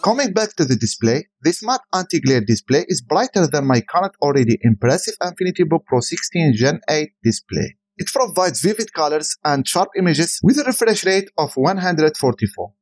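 Male tech reviewer, native Arabic speaker, higher-pitched voice with lively intonation, scripted product voice-over. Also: moderate pace, few pauses.

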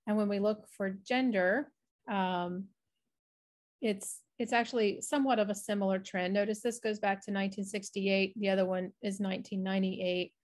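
A woman's voice: mid-range voice, steady tone, moderate pace, darkish tone. Middle-aged woman giving an academic talk. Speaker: researcher presenting an online talk. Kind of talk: explanatory academic presentation. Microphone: video call, webcam framing.

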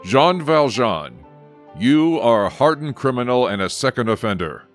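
An actor playing a severe judge in a radio drama, with a solemn, formal, authoritative delivery. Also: male voice, mid-range voice, big pitch swings, moderate pace, faint music behind, lightly acted. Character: severe male judge passing sentence in court.

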